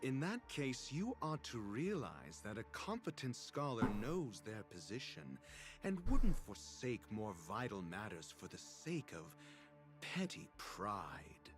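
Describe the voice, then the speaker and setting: A male actor playing a haughty, sardonic scholar in a video game, speaking in an arrogant, deliberate, dry manner. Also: fairly low pitch, wildly swooping pitch, full character voice. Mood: disdainful. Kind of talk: scripted video game dialogue. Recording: music behind the voice. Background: music.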